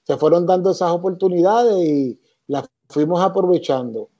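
A middle-aged man with a Puerto Rican accent, talking through his career at an easy, reflective pace. His voice sits a bit high but sounds mellow and dark. The recording is a little dull and lo-fi. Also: moderate pace. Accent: Puerto Rican Spanish. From Puerto Rico. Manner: relaxed, reflective, many fillers. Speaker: musician and arranger, guest. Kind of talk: interview answers, storytelling.

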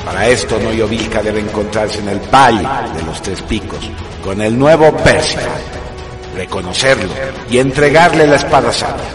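A man's voice: on the low side, expressive, normal speed. A man narrating a radio drama from a script in a grave, declamatory manner. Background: prominent music.

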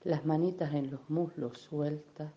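A woman leading a guided meditation in a gentle, unhurried, soothing manner, with affectionate little diminutives. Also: low voice, steady tone, lo-fi sound. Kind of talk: guided meditation, soft instruction.

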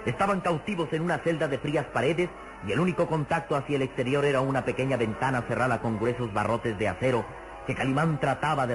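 A man's voice: medium pitch, natural voice, rough recording. Man as suspenseful radio serial narrator over music. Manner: grave, suspenseful, florid. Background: dramatic music.

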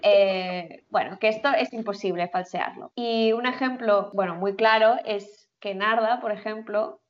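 A woman's voice: medium pitch, measured pace, dull audio. A woman who grew up in the Philippines, co-hosting a casual chat and laughing often, with many fillers. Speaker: podcast co-host.

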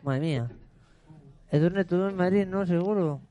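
A man hosting a casual radio talk show, quizzing his guests with quick playful questions. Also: high voice, warm tone, lo-fi sound. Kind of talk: casual studio chat.

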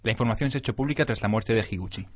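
A man's voice: on the low side, racing, breathy edge. A man playing a sober, cautious police investigator in a radio drama. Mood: alarmed. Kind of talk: radio drama adaptation of anime.

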